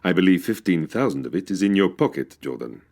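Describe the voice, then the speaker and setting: An actor playing a stern, formal Cairo police captain in a radio comedy drama, precise, officious and exasperated. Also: male voice, sits low, lively intonation, fairly quick, a little mellow.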